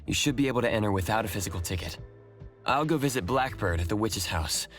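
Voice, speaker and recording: A young team leader in a video game. His voice is fairly low, with a measured, matter-of-fact delivery. Light music runs underneath. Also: lively intonation, a bit bright. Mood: composed, focused. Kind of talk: scripted video game voice acting. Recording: music behind the voice.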